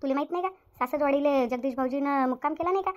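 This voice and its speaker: A woman's voice: sits high, fast talker, close to an everyday voice. A woman voicing a harried, dutiful elder sister-in-law in a Marathi cartoon, resigned and chatty, with a rural Varhadi accent. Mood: resigned worried. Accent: rural Maharashtra, Varhadi Marathi. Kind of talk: domestic cartoon story dialogue.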